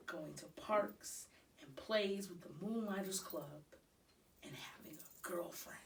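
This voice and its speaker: A woman reading a children's novel aloud expressively, phrase by deliberate phrase. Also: medium pitch, recorded from a distance. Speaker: storybook reader.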